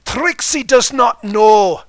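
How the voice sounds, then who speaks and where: A man's voice: very high voice, animated, slow pace, a bit bright, dry recording, studio quality, pitched above the performer's own voice, full character voice. A man voicing a boastful, theatrical female magician pony with a put-on Scottish accent and a pompous, declamatory manner. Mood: haughty. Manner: pompous, declamatory, third-person speech.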